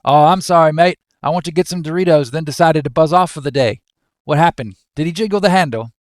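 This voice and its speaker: A man's voice: a little high, quick pace, no room sound, clean studio sound, pitched above the performer's own voice, lightly acted. A man playing a cheeky, nosy Australian office worker with an attempted Australian accent and matey, teasing slang. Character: cheeky, nosy, square-jawed Australian male office mate.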